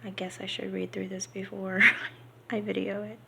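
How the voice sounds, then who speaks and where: Gravelly-voiced woman reading aloud. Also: medium pitch, little pitch movement, moderate pace, heavy background noise. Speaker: vlogger reading a book aloud. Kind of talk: unrehearsed read-aloud with casual asides.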